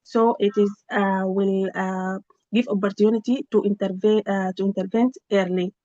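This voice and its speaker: A woman researcher giving a spontaneous project update over a video call, halting with many fillers and restarts. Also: mid-range voice, fairly even intonation, warm tone, no room sound. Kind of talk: spontaneous meeting report. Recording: slightly dull, dry video-call audio.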